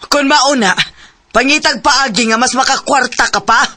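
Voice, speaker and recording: A woman's voice: sits high, moderate pace, bright, forceful tone. A woman playing a harsh, greedy middle-aged stepmother who scolds and bullies in a radio drama, with a Cebuano Filipino accent. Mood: demanding, nagging. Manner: scolding, demanding.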